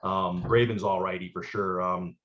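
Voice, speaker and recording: A man, a former pitcher and coach chatting casually in an interview, rambling with lots of fillers and restarts. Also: fairly even intonation, normal speed, a bit bright. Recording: lo-fi muffled recording.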